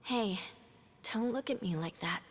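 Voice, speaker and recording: High-pitched, perky young woman livestreamer. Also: unhurried, slightly rough. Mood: teasing. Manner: perky, theatrical, mock-innocent. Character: cheeky young female livestreamer, theatrical and taunting. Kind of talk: livestream host acting in a video game cutscene. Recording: music behind the voice.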